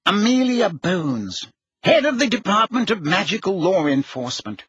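A man's voice: sits high, big pitch swings, moderate pace, a bit bright, breathy edge, no echo, muffled recording, pitched above the performer's own voice, full character voice. A man voicing a flustered, defensive older wizard minister in an audiobook, speaking with agitated urgency. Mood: miserable, anxious. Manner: agitated, urgent, self-justifying. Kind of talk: audiobook character acting.

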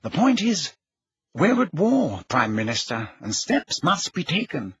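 A man's voice: medium pitch, very animated, normal speed, a bit bright, husky, no room sound, dull audio, exaggerated voice. A man voicing a flustered, defensive older wizard minister in an audiobook, speaking with agitated urgency. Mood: urgent. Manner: agitated, urgent, self-justifying. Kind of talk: audiobook character acting.

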